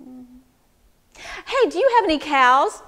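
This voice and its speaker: A woman voicing a calm, thoughtful village wise woman in a storybook tale, pondering and matter-of-fact. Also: high voice, a little slow, big-room sound, exaggerated voice.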